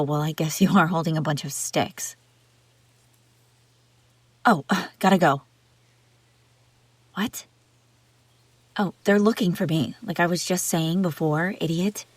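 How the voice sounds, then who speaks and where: A haughty young runaway princess, acted by a woman for an intimate audio roleplay. Her voice sits slightly low for a woman, and she bickers with sarcastic, defensive exasperation. The sound is close and dry, with hardly any room around it. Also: takes it slowly, pauses often, husky, no echo, exaggerated voice. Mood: irritated, defensive. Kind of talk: roleplay audio drama addressed to the listener.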